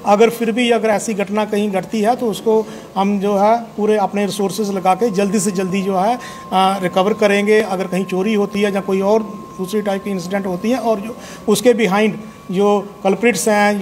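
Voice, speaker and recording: A middle-aged male police officer giving a formal press briefing, speaking in a measured way with frequent hesitations. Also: sits high, flat delivery, a little mellow, slight background noise. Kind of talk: press conference statement. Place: indoor police station room. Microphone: table of press microphones.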